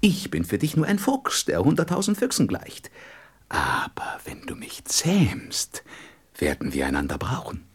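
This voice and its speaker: A wise, courteous fox from a storybook radio play. The voice is rough and husky, and the pitch swings widely as it speaks with wistful feeling. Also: male voice, wildly swooping pitch, unhurried, whispered, heavily acted. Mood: earnest, wistful.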